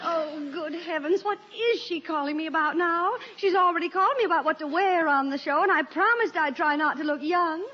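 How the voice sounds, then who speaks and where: A woman's voice: extremely high pitch, brisk, few pauses. A woman playing an evasive actress who poses as her own snooty secretary in radio comedy, stalling coyly with feigned politeness and French affectations. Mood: exasperated aside. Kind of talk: 1950s radio comedy acting. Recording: muffled old radio broadcast recording.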